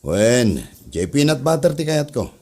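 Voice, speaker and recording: A man's voice: medium pitch, very animated, measured pace, mellow. A man playing a lazy, demanding office boss who grumbles and orders people around in a comedy audio drama. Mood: bossy. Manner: grumbling, bossy.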